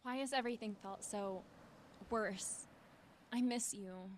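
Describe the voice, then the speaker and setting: A woman's voice: medium pitch, a little slow, slight background noise, natural voice. A woman playing a grieving, withdrawn teenage girl with a dry, wry manner in an audio drama. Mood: sad, self-reproaching. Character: grieving teenage girl, withdrawn, sardonic, missing her mother. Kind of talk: fiction podcast audio drama acting.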